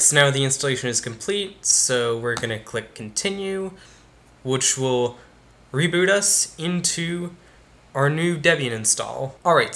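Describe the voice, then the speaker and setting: A young man with a casual, explanatory manner walks viewers step by step through a software install in a tutorial. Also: mid-range voice. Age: young adult. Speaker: tech YouTuber. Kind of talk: screen-recorded tutorial walkthrough. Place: small room indoors.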